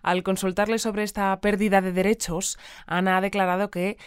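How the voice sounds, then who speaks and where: Smooth, deadpan actress as female newsreader. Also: mid-range voice, no echo, clean studio sound, natural voice. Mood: composed deadpan. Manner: deadpan, measured, polite. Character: composed, deadpan female community radio newsreader. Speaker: news presenter. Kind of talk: scripted radio news narration.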